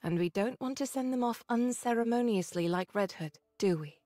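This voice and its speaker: An actress playing a calm, caring woman officer in an anime game, speaking in a measured, gently firm way. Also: fairly high pitch, no room sound, studio quality, faint music behind, lightly acted. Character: calm, caring, mature female squad second-in-command.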